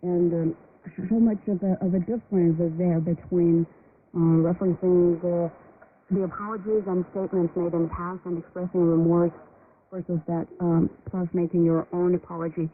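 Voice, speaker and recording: A radio presenter asks questions hesitantly, with very little movement in pitch. The voice comes through a narrow shortwave signal with some noise. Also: flat delivery, moderate pace. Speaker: radio host. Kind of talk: interviewing, asking questions.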